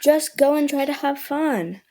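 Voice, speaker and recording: A woman playing a patient, nudging mother in an audio drama, speaking in a gently chiding, coaxing manner. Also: high-pitched, lively intonation, measured pace. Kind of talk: naturalistic audio drama acting.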